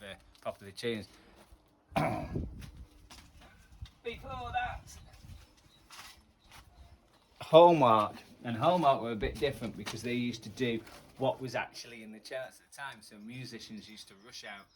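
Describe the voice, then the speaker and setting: Older British man, casual rambling vlog chat, middling pitch, clear outdoor noise behind. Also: expressive, measured pace, pauses often. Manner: rambling, reminiscing, many fillers. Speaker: vlogger. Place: outdoors in a garden.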